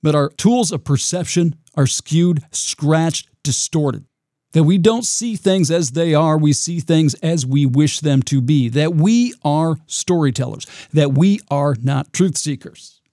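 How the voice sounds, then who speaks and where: A man with a raspy voice hosting his own podcast, talking in a reflective, rambling way with frequent restarts. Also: fairly high pitch, unhurried, warm tone, no echo, studio quality. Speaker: podcast host. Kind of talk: solo podcast monologue.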